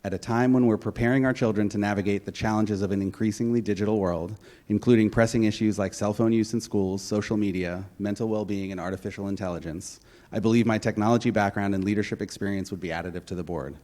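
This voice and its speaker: A man in his forties reads his prepared candidate statement to a community forum with earnest, steady delivery. His voice sits on the low side. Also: quick pace. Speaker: school board candidate. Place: public forum room.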